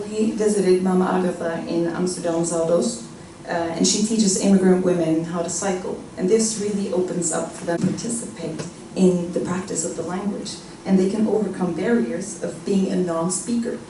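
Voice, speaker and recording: A woman with a Norwegian accent giving a short, measured scripted talk as a presenter. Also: lowish voice, mellow, slight background noise. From Norway. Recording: echoey hall, mic at a distance.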